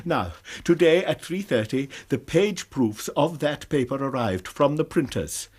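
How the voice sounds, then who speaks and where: An actor playing an anxious, proper British college lecturer in a radio drama, speaking formally and precisely. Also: male voice, on the high side, lively intonation, unhurried, a little mellow, husky.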